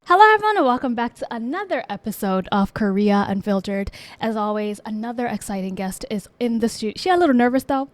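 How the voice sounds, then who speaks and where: A woman's voice: on the high side, expressive, brisk, hardly any pauses. A woman hosting a podcast, chatting with a guest in a bubbly, teasing way. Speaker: podcast host. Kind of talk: casual interview chat.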